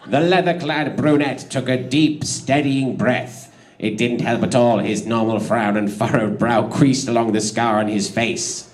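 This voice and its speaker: A man's voice: mid-range voice, fairly even intonation, unhurried. A man narrating comic fan fiction in a theatrical, giggly way, prone to breaking up and tossing in asides.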